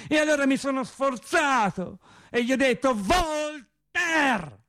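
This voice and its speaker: An actor playing a naive working-class man in a radio drama monologue, telling his sad story in a colloquial, halting, wry way. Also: very high voice, animated, bright, forceful tone, no echo, clean studio sound, exaggerated voice. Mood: agitated, bitter. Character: naive working-class man recounting his cancer and death, resigned, tender.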